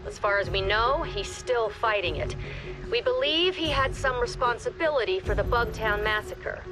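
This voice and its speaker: An actress playing the authoritative director of a tactical squad in a video game, giving measured, firm mission briefings. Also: female voice, on the high side, lively intonation, music in the background, lightly acted, poor audio. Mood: grave briefing.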